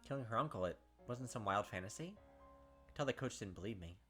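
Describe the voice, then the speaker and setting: A man hosts a casual game playthrough, switching between reading the story and chatty asides full of filler words. His voice is bright and forward. Soft music plays underneath. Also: on the low side, expressive, fairly quick. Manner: chatty, filler-heavy with frequent "like". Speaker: let's play host. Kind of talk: casual game commentary and read-aloud.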